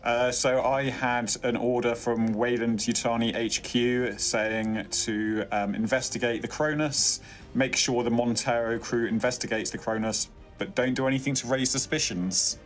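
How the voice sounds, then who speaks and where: A man speaks as a player on a tabletop game stream. He has a medium-pitched voice and a conversational manner. There is faint music behind him. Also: fairly even intonation, a little slow. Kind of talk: casual chat.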